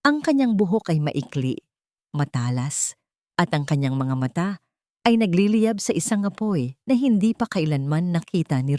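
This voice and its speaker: A woman with a Filipino accent narrating a dramatic story in a measured, solemn way. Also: sits low, a little mellow, no echo, clean studio sound. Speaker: narrator of a Tagalog audio drama.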